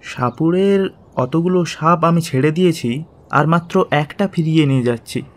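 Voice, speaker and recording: Man acting higher-voiced young accomplice. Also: moderate pace, lightly acted. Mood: worried. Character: young male accomplice friend in historical adventure. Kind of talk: Bengali audio story acting.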